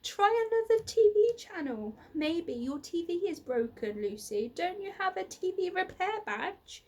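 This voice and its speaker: Storybook brat played by a woman: fearless young girl, high, loud, whiny and demanding. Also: very animated, unhurried, exaggerated voice. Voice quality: high, forceful.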